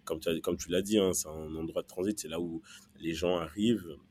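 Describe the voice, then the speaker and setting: A man's voice: low-pitched, steady tone, a little fast, darkish tone, slight background noise. A man from Belgium telling his personal story as a podcast guest, hesitant and often repeating words as he searches for phrasing. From born in Central Africa, raised in Belgium from early childhood.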